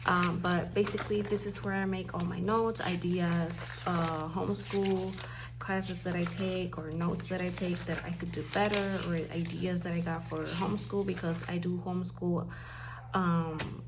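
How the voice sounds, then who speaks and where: A woman's voice: lowish voice, flat delivery, normal speed, background noise. A woman from Puerto Rico with a Puerto Rican accent, chatting casually and rambling a little as she talks through planners in a home vlog. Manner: chatty, rambling, self-correcting. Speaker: planner vlogger, stay-at-home mom.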